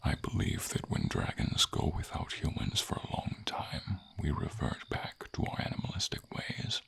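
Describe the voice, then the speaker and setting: A man voicing an old, friendly silver dragon in an ASMR fantasy roleplay, solemn and tender, with a breathy rasp that often drops to a whisper. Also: very deep voice, sing-song, moderate pace, fairly bright tone, full character voice. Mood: tender, hopeful. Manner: solemn, tender, formal storybook diction.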